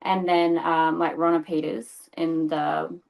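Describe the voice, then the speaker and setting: A woman's voice: deep voice, little pitch movement, slow, gravelly, highs cut off. A woman in her thirties, a podcast guest chatting casually over a video call, laughing often and explaining things patiently. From Australia. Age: 30s. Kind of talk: casual interview chat.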